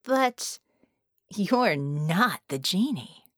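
A woman narrator acts out a cunning, bored villainess in an audiobook. She taunts in formal, theatrical phrases at a slow pace. Her pitch swoops around, and the sound is dry and close. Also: big pitch swings, fairly bright tone, no room sound, full character voice. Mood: taunting, probing. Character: caged villainess, haughty, mocking, cunning and bored.

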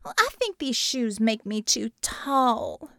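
A woman's voice: on the high side, big pitch swings, slow pace, gravelly, pushed and bright, dry recording, clean studio sound, exaggerated voice. A woman voicing a vain, eager young college student with an affected, pouting manner, for an audiobook. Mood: coy, fishing.

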